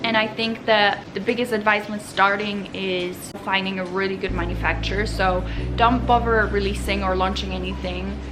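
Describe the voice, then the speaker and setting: A woman whose first language is Polish, running her own clothing brand, answers viewer questions in an earnest, upbeat vlog voice. Her voice sits at a middle pitch. Background music plays clearly beneath her talk. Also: little pitch movement, moderate pace, poor audio. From Poland. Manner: earnest, upbeat advice-giving. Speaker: vlogger and clothing brand founder.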